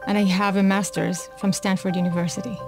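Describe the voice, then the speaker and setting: A woman from Israel gives a personal testimony in an even, mid-range voice. She speaks earnestly and at a measured pace, with music playing clearly behind her. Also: medium pitch. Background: background music.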